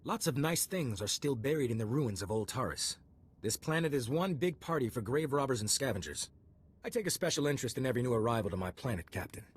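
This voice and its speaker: An actor playing an officious, self-important customs agent in a video game, pompous and condescending. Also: male voice, medium pitch, animated, fast talker, breathy edge. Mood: smug warning.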